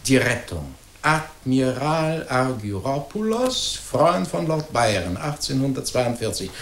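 An actor playing a flustered, excitable radio studio boss who fussily repeats himself, in a 1940s German radio play. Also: male voice, medium pitch, measured pace, a little hiss or hum. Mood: hurried. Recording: echoey 1940s radio recording.

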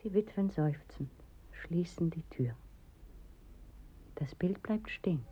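A performer narrating as a somber storyteller in a poetic radio play, measured and at times hushed. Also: mid-range voice, expressive, a little hiss or hum. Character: somber storyteller of village widows at graves. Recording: dull 1980s radio recording. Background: music.

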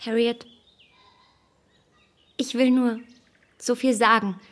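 A woman playing a self-assured young gentlewoman in a radio drama, formal and emphatic, shaken but keeping control. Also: a little high, fairly even intonation, takes it slowly. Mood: controlled, insistent. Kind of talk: German radio drama acting.

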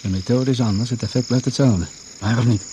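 A man's voice: mid-range voice, fairly quick, mellow, natural voice. An actor playing a shrewd, wry, fatherly older investigator in a Dutch radio drama. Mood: shrewd, probing. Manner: wry, reasoning step by step. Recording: noisy old radio play recording.

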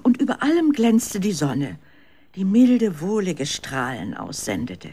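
A narrator telling a children's radio story in a measured, expressive scripted style. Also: mid-range voice, husky.

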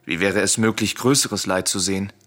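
An actor playing a secretive, protective exiled prince in a solemn radio tragedy, speaking gravely and with urgency. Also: male voice, low-pitched, moderate pace, a bit bright. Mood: shaken pity. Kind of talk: solemn radio drama acting of verse tragedy. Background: music.

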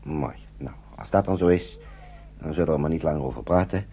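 A man's voice: extremely low pitch, brisk, a little hiss or hum. An actor playing a kindly older uncle in a radio drama, speaking in an avuncular, hesitant way and repeating his words. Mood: earnest, cautioning. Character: kindly, fatherly older uncle guiding refugee children to England. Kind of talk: Dutch radio drama acting. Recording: dull, lo-fi old radio recording.

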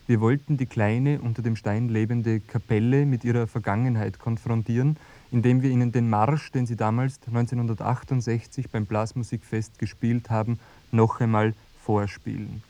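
A man playing an earnest field researcher, giving measured, factual narration in a mock-documentary radio play. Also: medium pitch, fairly even intonation, mellow, lightly acted. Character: earnest male field researcher reporting an odd experiment.

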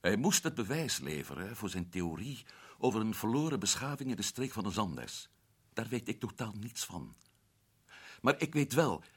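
A man's voice: lowish voice, very animated, normal speed, breathy edge. An actor playing an adventurous man back from Africa, telling his story earnestly and urgently in a radio drama. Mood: narrating. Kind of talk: 1960s radio drama acting.

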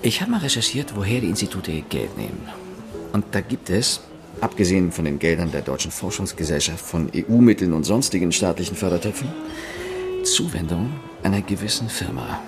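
A secretive male investigative reporter in a radio crime drama. He talks in a low, measured, knowing way, with music clearly underneath. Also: lively intonation. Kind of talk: conversational radio drama acting.

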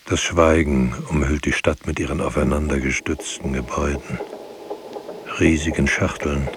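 Radio drama narrator: grave poetic witness, very low creaky voice, solemn delivery, faint hiss. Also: male voice, fairly even intonation, moderate pace.

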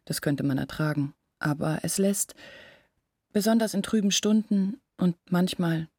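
Husky, brooding young village woman. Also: lowish voice, a little slow, a little mellow, dry recording, clean studio sound. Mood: earnest, troubled. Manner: intimate, brooding, earnest explaining. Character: anxious, confiding young village woman, devoted sister. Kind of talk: literary radio drama acting.

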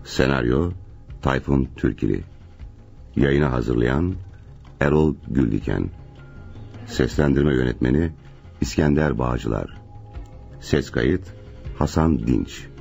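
A man slowly reading the production credits of a radio drama as a scripted announcement. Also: very deep voice, gravelly, a little mellow, breathy edge. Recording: dull radio recording, faint music.

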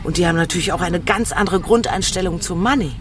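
A woman's voice: lowish voice, fast, noisy background, natural voice. A woman playing an opinionated expatriate, speaking conversationally and emphatically, switching into English phrases. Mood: emphatic, explanatory. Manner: emphatic, drops English phrases into her talk. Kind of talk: conversational monologue.

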